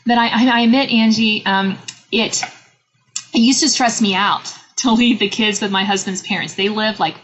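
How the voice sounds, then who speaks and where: A middle-aged woman hosting a podcast interview, chatty and animated, often laughing as she talks. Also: mid-range voice, moderate pace. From Northern Virginia. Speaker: podcast host. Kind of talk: casual interview chat. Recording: slightly dull lo-fi audio with faint noise.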